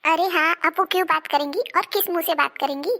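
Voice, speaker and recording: A woman acting an emphatic, dramatic role in a Hindi animated family melodrama. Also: extremely high pitch, fast, full character voice. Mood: outraged. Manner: emphatic, dramatic, scolding and pleading delivery. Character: women of a quarrelling Indian family: daughter, mother, daughter-in-law.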